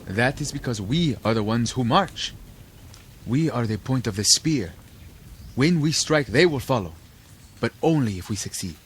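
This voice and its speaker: An actor playing a level-headed, skeptical rebel comrade, reasoning calmly in a radio drama. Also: male voice, mid-range voice. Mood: calm, doubting. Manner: measured, reasoning. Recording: clear insect and jungle ambience.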